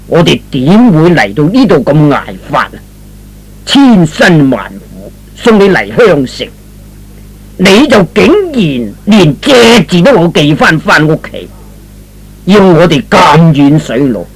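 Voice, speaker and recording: A man playing a bitter, irate old father in a radio drama, scolding emphatically in a husky voice. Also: high-pitched, full character voice, low-quality recording. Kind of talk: Cantonese radio drama acting.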